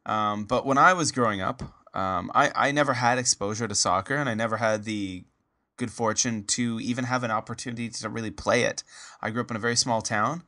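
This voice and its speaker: Man, Canadian accent, podcast host, bright tone, rambling, lots of fillers and restarts. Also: mid-range voice, steady tone, brisk. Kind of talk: casual interview.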